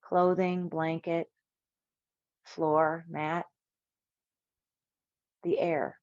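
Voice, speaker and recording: A woman with a fairly low voice leads a guided meditation as a yoga instructor. She speaks in soothing, measured phrases separated by long pauses. The recording is somewhat dull and quite dry, with little room sound. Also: little pitch movement, a little mellow, no room sound.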